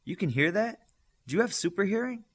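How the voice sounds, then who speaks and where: A man's voice: high voice, expressive, a little fast, dry recording, voice pushed up. A man voicing a guarded, curious teenage boy with powers in an audiobook story. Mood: surprised.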